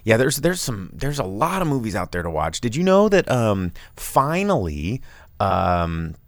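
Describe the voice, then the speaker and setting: A man hosting a casual chat show, rambling and animated, with sudden loud bursts in a big dad voice. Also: fairly low pitch, a little slow, no echo. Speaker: podcast host and bar owner.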